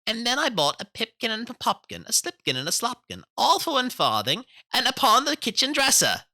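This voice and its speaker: A man voicing a chorus of tiny merry tailor mice, chanting nursery rhymes in high twittering voices. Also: huge pitch swings, a little fast, pushed and bright, full character voice. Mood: merry singing.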